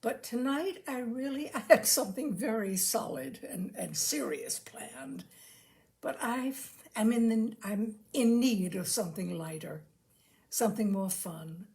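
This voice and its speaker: A woman hosting a story reading, speaking and reading aloud in an expressive, deliberately phrased way. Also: on the high side, creaky. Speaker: host and reader of a short-story reading show. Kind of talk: live literary reading aloud with informal introductions.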